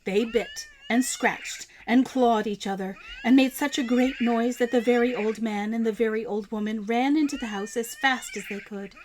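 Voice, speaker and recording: Female picture-book narrator, higher register, expressive and emphatic for young listeners. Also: slight background noise. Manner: expressive, emphatic, deliberate phrasing for children. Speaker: storyteller. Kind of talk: picture book read-aloud.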